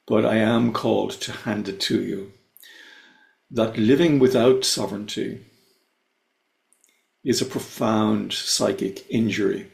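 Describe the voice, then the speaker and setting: A low, gravelly Irish man speaks with measured reverence and lingers on the words that matter to him. He comes over a video call from an echoey room. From Ireland. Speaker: invited speaker. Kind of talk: slow, reverent spiritual talk. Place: his home, on a video call.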